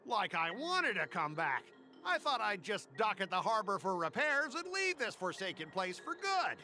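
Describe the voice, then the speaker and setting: Game role: irate male cargo worker, piercingly bright, animated delivery, emphatic and blustering. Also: high voice, normal speed, faint music behind, exaggerated voice. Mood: aggrieved. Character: irate, stubborn male cargo-company worker, loud complainer. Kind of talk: video game voice acting.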